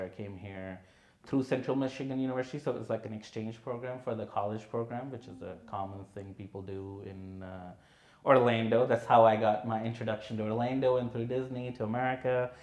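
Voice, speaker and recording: A man, a filmmaker guest on a podcast, telling his story in a relaxed way full of "you know" and "right". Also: on the low side, a little fast, echoey room. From India. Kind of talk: conversational podcast interview.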